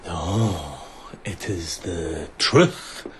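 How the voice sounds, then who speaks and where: A man's voice: deep voice, drawn-out words, slight background noise. An actor playing a menacing, mocking bandit swordsman in a radio drama, condescending and mock-courteous. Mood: mocking, suspicious.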